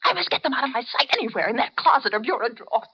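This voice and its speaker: High-pitched, terrified American spinster, old radio. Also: female voice, expressive, very fast, husky. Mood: desperate, distraught. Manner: breathless, faltering, pleading. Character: terrified, trapped middle-aged spinster keeping a diary. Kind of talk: 1940s American radio suspense acting. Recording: muffled 1940s radio recording, faint music.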